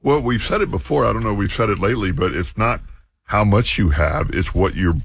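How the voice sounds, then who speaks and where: Low gravelly guy with a husky edge, dry close sound, halting and full of ums. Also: dry recording. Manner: halting, filler-heavy, laughs easily. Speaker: co-host, financial advisor. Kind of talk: casual radio chat.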